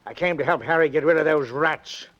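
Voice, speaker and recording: A man's voice: a little high, a little fast, fairly bright tone, close to an everyday voice. An actor playing a blunt, upset and suspicious man in a 1940s radio crime drama. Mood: tense, suspicious. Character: upset, suspicious male friend of a blackmail victim. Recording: dull vintage radio recording.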